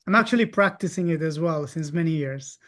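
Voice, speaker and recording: A man's voice: on the high side, animated, fairly quick, a bit bright. A man hosting a live webinar, upbeat and chuckling as he asks guests questions over a video call. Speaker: host.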